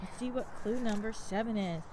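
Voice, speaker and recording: A woman's voice: mid-range voice, a little fast, a little mellow. A woman with a sing-song, encouraging delivery, chatting playfully and reading aloud for a kids' vlog. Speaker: co-host filming a child's scavenger hunt. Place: outdoors at a playground and yard. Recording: noisy handheld outdoor recording.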